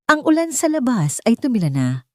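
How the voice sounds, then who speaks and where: A woman's voice: a little high, very animated, moderate pace, mellow, no echo, studio quality. A woman reading scripted, dramatic story narration.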